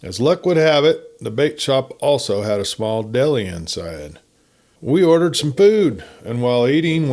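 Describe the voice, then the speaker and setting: A man narrating a written campfire story in a folksy, conversational, unhurried manner. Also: mid-range voice, a little mellow. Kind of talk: folksy scripted story reading.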